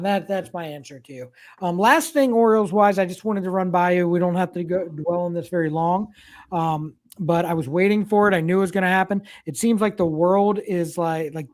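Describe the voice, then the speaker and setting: A man's voice: high voice, a little mellow. A man hosting a casual sports podcast, leading the chat and passing questions to his co-hosts. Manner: leads discussion, tosses questions to co-hosts. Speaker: podcast host. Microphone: remote streaming mic.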